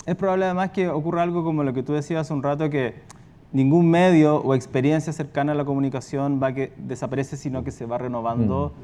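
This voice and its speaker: A man's voice: a little high, fairly even intonation, fast talker, mellow. A Chilean man speaking spontaneously on a panel as a radio and podcast producer, in a colloquial, rambling, anecdotal way.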